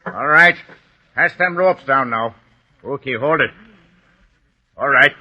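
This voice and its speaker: An actor playing a blunt, commanding police rescuer in a 1940s radio drama. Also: male voice, mid-range voice, slow, slightly rough. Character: gruff no-nonsense rescue cop, older man. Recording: muffled lo-fi old radio.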